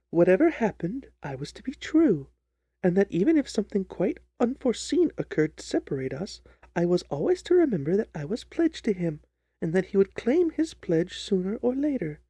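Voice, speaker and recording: A man's voice: high voice, sing-song, normal speed, warm tone, breathy edge, no room sound, full character voice. A man voicing an earnest, trusting, distressed young jilted bride in a dramatised story reading. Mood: loyal, troubled. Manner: earnest, wordy, pleading.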